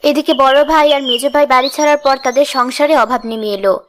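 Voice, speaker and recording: A woman's voice: high voice, fast, a little hiss or hum. A woman reading scripted fairy-tale narration for a cartoon. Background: music.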